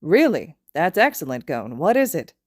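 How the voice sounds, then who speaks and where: A woman's voice: low voice, very animated, normal speed, dry recording, clean studio sound. A woman voicing a kindly, courteous man who teaches martial arts in an anime story, speaking politely. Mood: curious, pleased. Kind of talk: anime fan fiction character reading.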